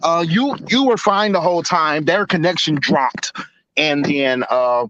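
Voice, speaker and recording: A man's voice: fairly high pitch, a little slow, a bit bright, dry recording, muffled recording. A man from Detroit co-hosting a live podcast, talking in a laid-back way full of fillers and "yeah, no". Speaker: co-host. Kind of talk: casual live podcast chat.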